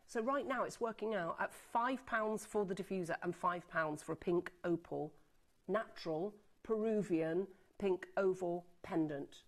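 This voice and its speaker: Excitable shopping-channel host with sniffles. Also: female voice, lowish voice. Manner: excitable, chatty, fast patter of buyer names. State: sniffly with a head cold. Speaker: TV shopping presenter. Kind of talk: live on-air sales pitch. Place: TV studio.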